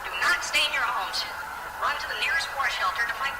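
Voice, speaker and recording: A woman playing a frightened on-scene news reporter in an audio drama, broadcasting with a halting, urgent, awestruck delivery. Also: high-pitched, close to an everyday voice. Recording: distant echoey broadcast, loud vehicle-like noise.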